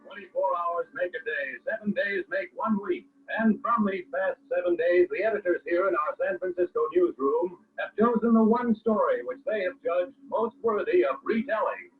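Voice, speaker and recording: A man's voice: sits high, moderate pace, hardly any pauses, soft background music, lightly acted. A man playing a formal, declamatory network announcer in a scripted 1940s radio program. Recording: distant, phone-like old radio playback.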